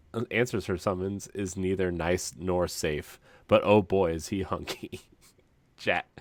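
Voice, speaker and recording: A man's voice: sits low, dry recording. A man co-hosting a podcast, reading aloud for comedy and chatting casually, chuckling through his words. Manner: chuckling, amused delivery. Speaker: podcast co-host.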